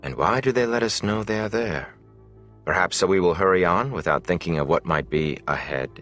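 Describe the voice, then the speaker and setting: A man voicing a calm, commanding sorceress who speaks in a measured, brooding, authoritative way in an audiobook. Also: deep voice, faint music behind.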